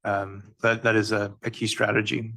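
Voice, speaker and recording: A man on agency staff explaining a budget process at a public meeting, halting with many fillers and restarts. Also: fairly low pitch, flat delivery, vocal fry, sharp tone, dry recording.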